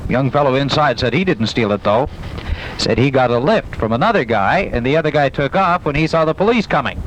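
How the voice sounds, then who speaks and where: A man's voice: mid-range voice, lively intonation, a little fast. A man reading news stories and announcements in the style of a 1970s radio newscaster. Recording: noisy old 1970s radio aircheck.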